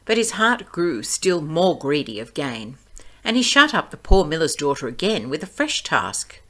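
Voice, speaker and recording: A woman narrates a fairy tale in a fairly low voice. Her reading is expressive and animated, with pitch that rises and falls freely as a storyteller's does. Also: normal speed.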